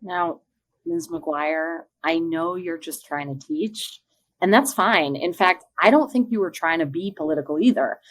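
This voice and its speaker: A woman's voice: lowish voice, a little slow. A woman acting as an assertive, protective small-town mother, pointed and persuasive, in a scripted podcast drama. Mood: condescending, firm.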